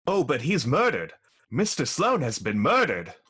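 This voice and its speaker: A man acting a flustered household butler in a radio mystery, husky and deferential with a faltering delivery. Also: medium pitch, huge pitch swings, normal speed, sharp tone, exaggerated voice. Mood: shocked.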